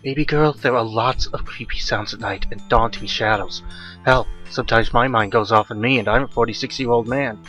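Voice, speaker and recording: A man's voice: mid-range voice, a little fast, bright, forceful tone, natural voice. Irritable dad in his forties, acted by the male reader, dismissive, a little dull-sounding, music behind. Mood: reassuring. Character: irritable, dismissive forty-six-year-old father. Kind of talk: audiobook horror story character voice.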